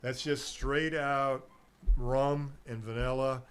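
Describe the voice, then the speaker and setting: A man's voice: mid-range voice, steady tone, slow pace, fairly bright tone, clean tone. Rambling chatty middle-aged man. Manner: chatty, meandering, laughs easily. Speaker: guest, herbal nutrition seller. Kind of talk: rambling casual chat on a local radio show.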